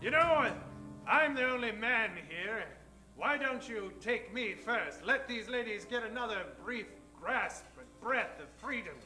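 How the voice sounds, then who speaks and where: A man playing a gallant, wisecracking detective in improvised old-time radio comedy, theatrical and animated. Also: very high voice, measured pace, faint music behind, heavily acted. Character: wisecracking, gallant male golf-pro detective hero.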